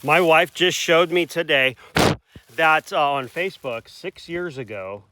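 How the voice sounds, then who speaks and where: A man's voice: fairly high pitch, animated, a little slow, very noisy. A man, hosting a casual rural vlog and rambling in a folksy way with self-corrections. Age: 40s. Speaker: channel host. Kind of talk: casual outdoor vlog chat.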